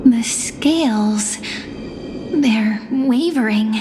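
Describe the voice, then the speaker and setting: A woman playing a gentle, hopeful young companion in a fantasy game, speaking sincerely. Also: fairly high pitch, very slow, noisy background. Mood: tense. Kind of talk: dramatic fantasy game voice acting. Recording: long reverb, music behind.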